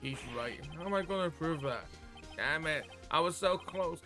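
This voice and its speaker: A man's voice: fairly high pitch, lively intonation, normal speed, sharp tone, soft background music. A man voicing an earnest male defense lawyer in a courtroom video game, with a dramatic, self-questioning manner. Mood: dejected.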